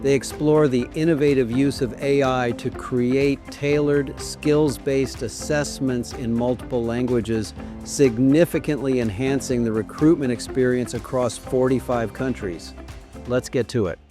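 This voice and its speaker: Male podcast announcer, mid pitch, scripted intro read over a clear music bed. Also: steady tone, a little slow. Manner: polished announcer delivery.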